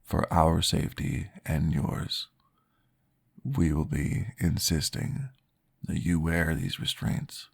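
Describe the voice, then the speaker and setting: Deep, gravelly, slow-spoken vampire therapist, formal and reassuring, in an ASMR roleplay, voiced by a man. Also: steady tone, heavily acted.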